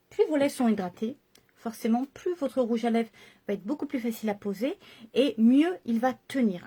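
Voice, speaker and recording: A woman's voice: on the high side, warm tone, husky. A woman chatting through a makeup tutorial and explaining each step to her viewers. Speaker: makeup vlogger.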